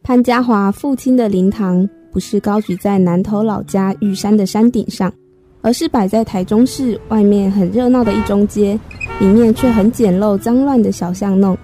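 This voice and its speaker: A woman narrating a scripted radio drama in a measured storytelling manner. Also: mid-range voice, fairly even intonation, warm tone, soft background music, lightly acted.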